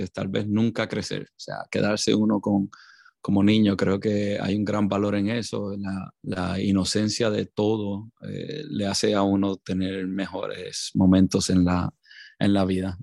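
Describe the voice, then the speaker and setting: A man with a low, gravelly voice and a flat, even delivery. He talks in a measured, reflective way on a podcast, pausing on fillers, and the sound is dry and close. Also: no echo. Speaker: podcast co-host. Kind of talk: casual conversation.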